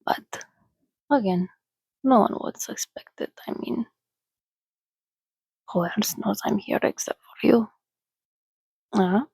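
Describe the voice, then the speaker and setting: A woman speaking in a hushed, soothing, often whispered ASMR style, as if calming a companion. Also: medium pitch, slow pace, gravelly, long pauses, breathy edge, dry recording. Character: calm, sociable female vampire soothing a companion. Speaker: ASMR host. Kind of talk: soft-spoken ASMR reading and roleplay.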